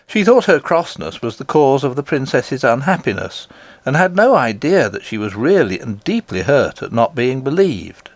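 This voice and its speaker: A man reading a children's storybook aloud as expressive scripted narration. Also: medium pitch, moderate pace, no room sound. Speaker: audiobook reader.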